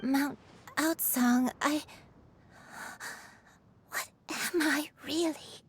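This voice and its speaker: Frail, halting old lady. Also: high-pitched, steady tone, a little hiss or hum. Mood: distressed. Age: older. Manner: halting, wistful, polite. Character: frail, forgetful, sorrowful old woman, gentle and self-effacing. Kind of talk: video game voice acting.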